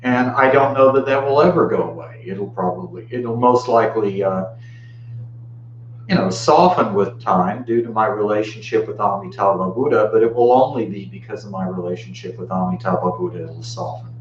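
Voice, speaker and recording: An American man of fifty-nine giving an informal dharma talk in a reflective, halting way with long pauses. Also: lowish voice. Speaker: Buddhist teacher giving a talk. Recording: muffled, roomy, faint background hum.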